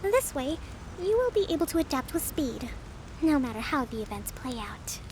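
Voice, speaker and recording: A calm, high-voiced priestess. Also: female voice, background noise. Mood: reassuring. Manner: calm, measured, reassuring, methodical. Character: calm young female priestess-leader, meticulous strategist. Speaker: divine priestess of an island. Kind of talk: video game voice acting. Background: game music.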